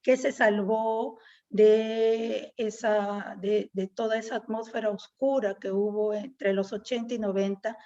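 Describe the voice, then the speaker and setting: A woman's voice: mid-range voice, fairly even intonation, a little slow, warm tone, highs cut off. A middle-aged woman from Peru with a Peruvian Spanish accent, speaking as a guest poet in a reflective interview, often ending thoughts with "¿no?". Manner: reflective, with frequent "¿no?" tags and "este" fillers. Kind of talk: conversational interview.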